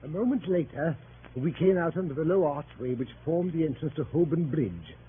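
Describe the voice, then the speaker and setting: A man's voice: fairly high pitch, lively intonation, moderate pace, slight background noise, close to an everyday voice. An actor playing a genteel club member who narrates his travels with mock reverence, in 1930s radio drama style. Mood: mock-reverent. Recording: tinny 1930s radio broadcast with faint music.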